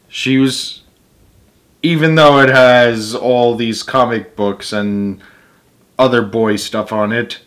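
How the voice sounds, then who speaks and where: A man's voice: medium pitch, a bit bright. A man reading a children's story aloud as its host, with emphatic delivery and casual asides.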